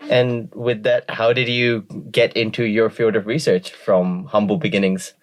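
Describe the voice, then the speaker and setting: A man hosting a podcast, reading a disclaimer and introducing his guest in a friendly, slightly halting way. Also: fairly low pitch, little pitch movement. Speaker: podcast host.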